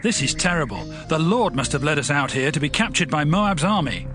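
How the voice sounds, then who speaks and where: An actor playing a proud, warlike king of ancient Israel in a Bible audio drama, forceful and dramatic. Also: on the high side, lively intonation, fairly quick, music in the background, close to an everyday voice. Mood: alarmed.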